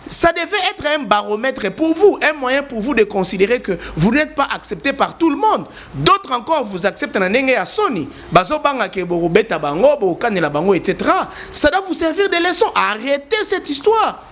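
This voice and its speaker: A man's voice: very high-pitched, very animated, moderate pace, fairly bright tone. A man from eastern DR Congo with a Congolese French accent, delivering an impassioned, lecturing opinion address and repeating words for stress. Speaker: commentator.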